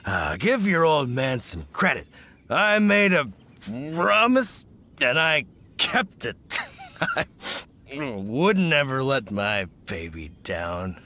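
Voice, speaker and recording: A man's voice: mid-range voice, big pitch swings, slow, bright, forceful tone, full character voice. An actor playing a tipsy, sheepish, doting middle-aged hunter father in a video game, rambling and hesitant. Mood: drunk, pleased.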